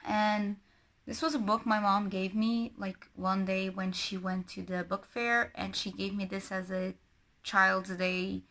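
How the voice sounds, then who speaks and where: A woman's voice: mid-range voice, flat delivery, measured pace, a bit bright. A young woman from Portugal, chatting casually and rambling with many self-corrections while doing her makeup. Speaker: book and beauty vlogger.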